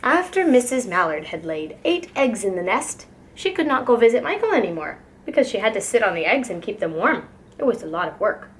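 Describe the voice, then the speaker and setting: A woman's voice: on the high side, fairly bright tone. A woman reading a children's picture book aloud like a preschool teacher, expressively and with care for young listeners.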